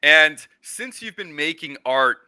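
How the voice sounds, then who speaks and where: Very bright-voiced male interviewer. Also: fairly high pitch, animated, smooth, no echo, studio quality. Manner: rambling, halting, hedging questions. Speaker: interviewer, host. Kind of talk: casual interview chat.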